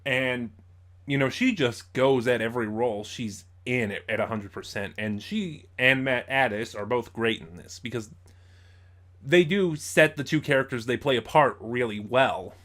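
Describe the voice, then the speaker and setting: A man giving an informal spoken review as host, in a halting way, stumbling over words and correcting himself. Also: mid-range voice, a bit bright.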